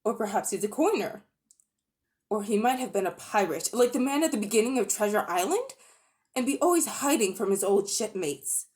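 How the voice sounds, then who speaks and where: A woman's voice: mid-range voice, very animated, normal speed, darkish tone, close to an everyday voice. Woman doing an upset, wound-up young boy. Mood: secretive. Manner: upset, wound up, near tears. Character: miserable, worked-up young boy.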